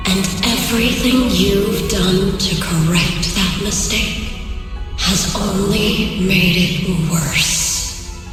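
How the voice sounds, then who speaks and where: Menacing phantom woman for a game: crawling pace, condescending false tenderness, far off in cavernous echo. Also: medium pitch. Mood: taunting, mock-tender. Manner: slow, drawn-out condescending delivery. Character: sinister phantom woman's voice, mock-motherly, cruelly taunting. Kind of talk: video game voice acting. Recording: distant, vast echoing reverb over loud music.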